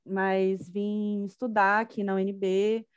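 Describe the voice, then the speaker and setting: A woman's voice: mid-range voice, little pitch movement, slow, clean tone, no echo. A middle-aged woman from Bahia with a Brazilian accent, chatting casually as an interview guest. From Salvador, Bahia, Brazil. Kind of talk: casual chat.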